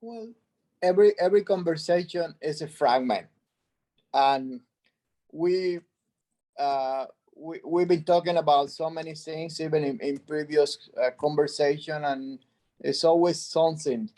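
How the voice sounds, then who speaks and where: A middle-aged Cuban-born man with a Spanish accent, answering interview questions about his art in a halting way, often restarting as he searches for words, over a video call. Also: fairly high pitch, mellow. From Cuba. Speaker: artist guest.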